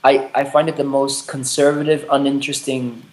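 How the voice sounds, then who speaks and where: A man's voice: mid-range voice, little pitch movement, moderate pace. Reflective man in his late thirties, echoey room. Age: 30s. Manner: hesitant, restarts often, swears casually. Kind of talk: candid conversation. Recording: roomy echo.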